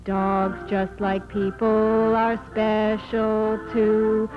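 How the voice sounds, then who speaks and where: A woman's voice: medium pitch, monotone, very slow, a little mellow, low-quality recording. A woman delivering lyrical, sing-song narration for a vintage educational film, with music behind her. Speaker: narrator and singer.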